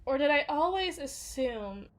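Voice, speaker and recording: Casting: actress for a jaded female bodyguard in ASMR roleplay, higher-pitched, very slow delivery, confiding haltingly, dropping to whispers. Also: animated. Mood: tender, vulnerable. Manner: halting, hushed, often sinking into whispers. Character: jaded, foul-mouthed bodyguard confiding tenderly in a partner. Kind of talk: intimate ASMR roleplay monologue.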